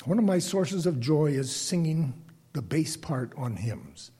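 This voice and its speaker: An older man with a bass singing voice gives a reflective, prepared lay sermon to a congregation, speaking deliberately and haltingly with pauses. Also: very animated, dark tone, slightly rough. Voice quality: self-described bass voice.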